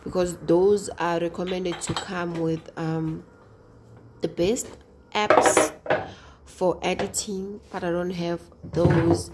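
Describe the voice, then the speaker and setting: A woman's voice: on the low side, level tone, takes it slowly. A woman homemaking vlogger chatting casually to her viewers in a hesitant, conversational way. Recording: noisy home recording with clicks.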